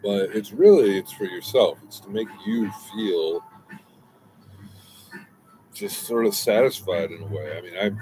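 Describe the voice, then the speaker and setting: A man's voice: deep voice, a little mellow, low-quality recording. A man in his forties giving a casual, meandering fragrance review outdoors, with lots of restarts and asides, on a close webcam. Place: outdoors by a brick wall, smoking.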